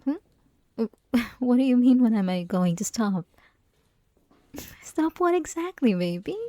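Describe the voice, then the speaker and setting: A woman's voice: on the high side, very animated, measured pace, warm tone, dry recording. A woman playing a flirty, possessive college classmate who teases the listener in an intimate, mostly whispered ASMR roleplay. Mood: incredulous. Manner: teasing, breathy, mostly whispered, giggly.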